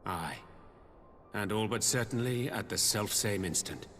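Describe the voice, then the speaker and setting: An actor playing a grave, courtly scholar in a video game, speaking in measured, formal, archaic English. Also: male voice, fairly low pitch, a bit bright. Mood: grave, pensive. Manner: formal archaic diction, pensive. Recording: long cavernous reverb, music underneath.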